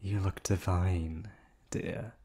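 Male actor doing a low, slow-talking yandere receptionist, silky courtesy over menace, close-mic whispers. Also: fairly even intonation, warm tone, slightly rough, exaggerated voice. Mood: whispery. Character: obsessive male yandere hotel receptionist kidnapper. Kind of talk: ASMR roleplay audio drama.

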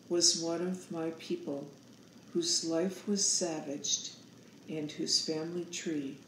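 Older woman in her sixties, very slow pace, solemn storybook narration, roomy echo. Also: deep voice, slight background noise. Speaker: author reading own picture book aloud.

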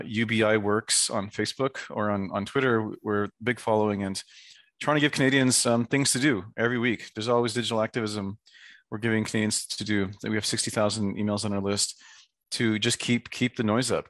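A man speaking as a guest advocate on a video call, informal and earnest, with halting phrasing. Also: fairly low pitch, steady tone, fairly bright tone, dry recording.

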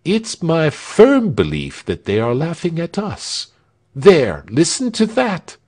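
A man's voice: a little high, huge pitch swings, slow pace, a little mellow, slightly rough, pitched above the performer's own voice, exaggerated voice. A man voicing a thin, proud soldier who complains in old-fashioned speech. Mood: suspicious.

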